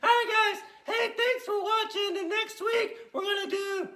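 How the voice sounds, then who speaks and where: A performer voicing an excitable, giggly little girl puppet who hosts a kids' show, gushing and repeating exclamations. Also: extremely high pitch, moderate pace, full character voice. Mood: excited, giggly. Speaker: puppet show host for kids. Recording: roomy echo, faint music.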